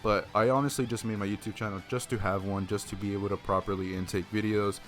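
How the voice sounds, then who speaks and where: Laid-back low-voiced young man. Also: level tone, fast talker, a bit bright, faint music behind. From Mexico. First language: Spanish. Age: 20s. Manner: casual, swears freely, rambling with many fillers. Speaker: YouTube streamer. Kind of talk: casual Q&A vlog.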